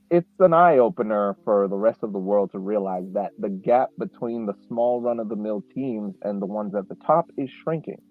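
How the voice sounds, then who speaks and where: Guy co-hosting a podcast, kinda low voice, sounds like he's on a phone line, takes his time with lots of restarts. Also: dry recording. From Haitian family. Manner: measured, restarts often, builds points slowly. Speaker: podcast co-host. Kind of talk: casual podcast chat.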